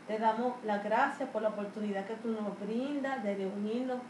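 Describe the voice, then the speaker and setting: A woman's voice: medium pitch, steady tone, moderate pace. A woman leading an extemporaneous, devotional church prayer in Spanish, fervently and repeatedly calling on the Lord. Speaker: congregation member leading the opening prayer. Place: church service. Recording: echoey room with faint background noise.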